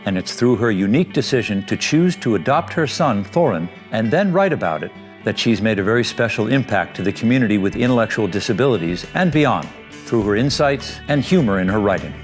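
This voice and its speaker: A man's voice: lowish voice, lively intonation, a little fast, soft background music. A middle-aged man presenting a documentary piece, mixing narration with personal reflection as a parent advocate.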